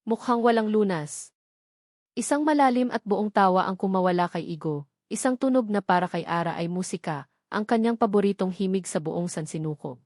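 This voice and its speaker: A woman's voice: medium pitch, moderate pace, darkish tone, no echo. Filipino woman narrating romance, close and dry. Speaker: story narrator. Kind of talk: dramatic scripted romance narration.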